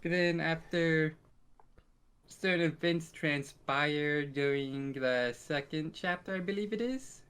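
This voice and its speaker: Male co-host, slightly high voice, casual podcast chat, informal. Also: slow pace, fairly bright tone, clear, resonant tone. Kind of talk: casual chat about favourites.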